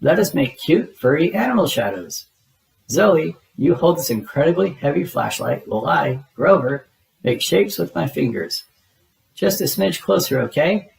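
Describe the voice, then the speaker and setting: A man's voice: fairly high pitch, big pitch swings, normal speed, vocal fry, a little mellow, pitched above the performer's own voice, heavily acted, low-quality recording. A man voicing a polite, nervously brave little furry monster in a storybook, with formal, careful phrasing. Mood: eager, bossy.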